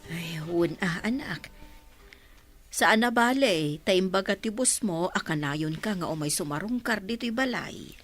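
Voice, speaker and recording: An actress with an Ilocano accent playing a warm, humble village mother in a radio drama. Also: lowish voice, expressive, unhurried, soft background music. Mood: fond, grateful.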